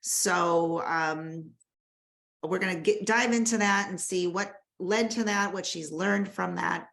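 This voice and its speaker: A woman with a slightly low voice hosts a podcast. She is a dentist and yoga teacher. She introduces her guest in a friendly way and laughs easily. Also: steady tone, takes it slowly, gravelly, fairly bright tone. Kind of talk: podcast host introduction and interview.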